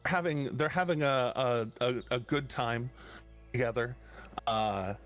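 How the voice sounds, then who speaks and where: A man narrates a tabletop game at an unhurried, searching pace, often backing up to rephrase. His voice sits at an ordinary middle pitch, with faint music playing behind him. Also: fairly bright tone. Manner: slow, halting, many restarts and fillers. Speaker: game master.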